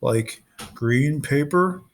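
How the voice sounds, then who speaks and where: A man voicing a gentle, childlike young cyclops boy in simple, halting speech, reading from a storybook. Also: fairly high pitch, animated, exaggerated voice. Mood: hesitant.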